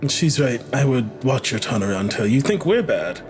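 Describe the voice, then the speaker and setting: A man playing a sly, threatening dark elf who bargains in measured, deliberate phrases during a tabletop roleplaying game. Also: medium pitch, very animated, lightly acted. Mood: quietly menacing. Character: sly, threatening male drow crossbowman, coolly negotiating. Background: music.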